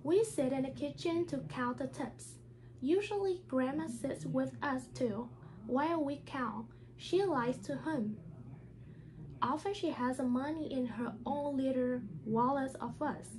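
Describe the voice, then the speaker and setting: A woman speaks in careful, halting non-native English, in the style of a teacher reading a children's storybook aloud. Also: sits high, darkish tone, a little hiss or hum, rough recording.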